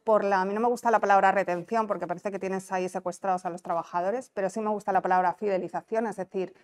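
Spanish woman, not canarian accent, explaining stuff at a conference, clean smooth voice, chatty and checks you're following. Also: medium pitch, steady tone, quick pace. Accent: Spanish, non-Canarian. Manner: explanatory, conversational, checks understanding with tag questions. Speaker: conference presenter, business incentive adviser. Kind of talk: informal conference presentation. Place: small indoor conference room. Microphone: lapel mic.

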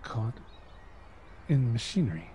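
A man's voice: medium pitch, very animated, normal speed, mellow, a little hiss or hum, natural voice. A man playing a sorrowful, fearful elderly husband in a radio horror drama, grave and anxious. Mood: stunned.

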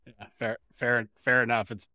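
A man's voice: medium pitch, flat delivery, normal speed, sharp tone, dry recording. A man from San Diego co-hosting a podcast, asking curious questions and telling light anecdotes in casual chat. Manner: curious, jokey interviewer. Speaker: podcast co-host.

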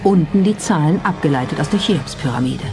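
A narrator reading a scripted documentary in a measured storytelling style. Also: medium pitch, expressive, over music.

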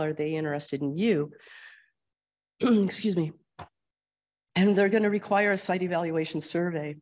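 A woman with an Upper Midwest American accent, getting over a cold and losing her voice, giving a conversational grand rounds lecture and often clearing her throat. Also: fairly low pitch, a little mellow. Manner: explanatory, conversational, frequent ums and throat clearing. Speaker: clinical research administrator presenting at grand rounds. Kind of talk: conference lecture with slides.

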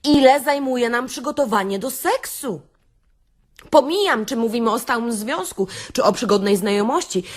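Casting: actress as brash female beautician for comic radio monologue; higher-pitched, highly animated delivery, theatrical sarcasm. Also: moderate pace. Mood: mock-lecturing. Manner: theatrical, sardonic, addresses listeners with rhetorical questions. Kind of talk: satirical radio-play monologue, stand-up-like lecture.

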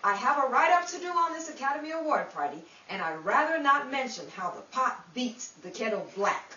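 An arch, scheming 1950s Hollywood gossip writer, played by a woman in a radio play. Her high voice swoops theatrically, picked up from afar in an echoey room. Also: very animated, brisk, sharp tone, dull audio, heavily acted. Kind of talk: 1950s radio drama acting. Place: small indoor room staged as radio studio. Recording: echoey noisy room, distant camera mic.

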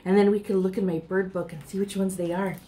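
A woman voicing a kindly, gently mysterious aunt from a storybook, speaking softly and often in whispers. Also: medium pitch, steady tone, quick pace, slight background noise, voice pushed down, natural voice. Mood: hushed, eager.

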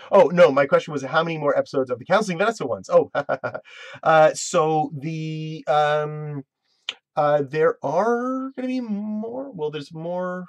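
A man's voice: a little high, a little slow, no room sound. Guy in his 30s chatting on a webcam stream, lots of ums and restarts, dry room sound. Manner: rambling, self-interrupting, full of fillers. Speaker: web series creator hosting a live Q&A. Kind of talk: casual live-stream chat.

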